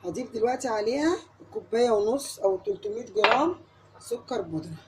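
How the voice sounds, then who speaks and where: A home cook with an Egyptian accent walks the viewer through a recipe in a quick, friendly patter. Her voice sounds tired from a recent cold and sits a little high. The kitchen recording carries a clear layer of background noise. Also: animated. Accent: Egyptian Arabic. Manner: chatty, addresses viewer directly, step-by-step. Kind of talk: cooking tutorial.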